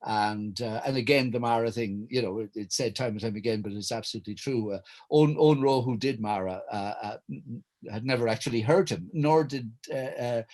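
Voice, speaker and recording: A man's voice: mid-range voice, brisk, darkish tone. A middle-aged Irish man reminiscing in conversation, articulate and wordy, often stammering and restarting as he searches for the exact phrase. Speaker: writer and director, panel guest. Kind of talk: reflective conversation recounting memories.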